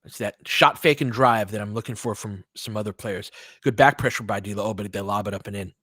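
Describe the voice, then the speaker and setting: A man's voice: lowish voice, a little fast, a bit bright, slightly rough, dry recording. Man calling basketball in clipped, informal phrases. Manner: clipped phrases mixed with conversational fan asides. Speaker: basketball play-by-play commentator and analyst. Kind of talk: informal live play-by-play commentary with coaching analysis.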